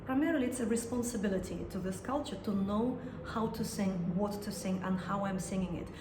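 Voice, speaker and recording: A woman's voice: mid-range voice, brisk. Russian-accented woman, thoughtful, echoey room. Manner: thoughtful, searching for words, frequent restarts. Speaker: singer and songwriter. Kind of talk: interview conversation. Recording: echoey room with some noise.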